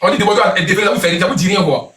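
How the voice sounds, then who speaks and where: A man's voice: high-pitched, rapid-fire, pushed and bright, echoey room, distant mic. A man hosting a live stream in casual banter, with other voices crowding in.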